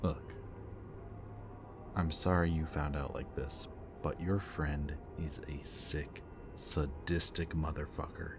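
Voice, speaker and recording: Guy doing a super deep remorseful villain, low confessional talk, clear ambient noise behind. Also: slow pace, exaggerated voice. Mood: bitter. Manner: low, intimate, confessional delivery with dropping whispers. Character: remorseful bitter male villain, young adult. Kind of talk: audio roleplay addressed to the listener. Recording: steady ambient background noise.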